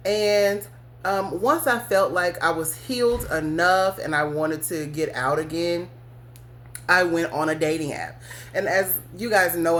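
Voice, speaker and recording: Podcast host, woman, low register, frank storytelling with frequent laughs and swearing, faint background hum. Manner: candid and blunt, cursing, laughing often mid-story. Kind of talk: casual storytelling to listeners.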